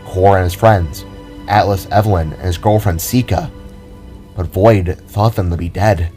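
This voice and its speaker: A man's voice: low voice, measured pace, dark tone, soft background music. A man narrating a scripted audio drama in a dramatic storytelling style.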